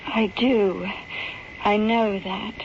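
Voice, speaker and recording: An actress playing a distraught, haunted young daughter, plaintive and urgent, in an old-time radio drama. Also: female voice, mid-range voice, steady tone, drawn-out words. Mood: anxious. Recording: muffled, noisy old radio broadcast.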